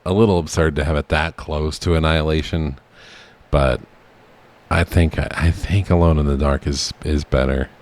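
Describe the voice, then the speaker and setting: A man's voice: a bass voice, fairly even intonation, moderate pace. A man co-hosting a podcast, chatting casually and informally. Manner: informal, occasionally profane. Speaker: podcast co-host.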